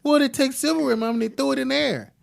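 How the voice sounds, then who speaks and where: A man hosting a casual podcast chat, telling stories in an animated, profane way. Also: very high voice, quick pace, gravelly. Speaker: host.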